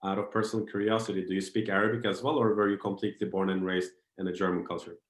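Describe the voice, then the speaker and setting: A man's voice: deep voice, flat delivery, fast, reverberant. A man hosting an interview podcast, asking questions in a friendly, somewhat hesitant manner. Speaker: podcast host.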